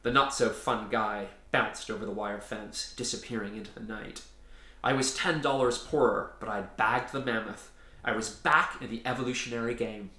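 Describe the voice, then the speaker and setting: A man's voice: on the low side, steady tone, moderate pace, pushed and bright. A man reading a children's story as its storyteller, in an informal way. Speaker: author and storyteller. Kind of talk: animated children's story reading with casual introduction. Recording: roomy echo.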